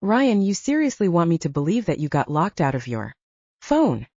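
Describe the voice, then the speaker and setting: A woman's voice: on the low side, big pitch swings, moderate pace, mellow, no room sound. A woman voicing a tough but distressed young female soldier in an audiobook, demanding and emotional, cursing as she talks. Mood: stern, demanding. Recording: dull lo-fi audio, dry.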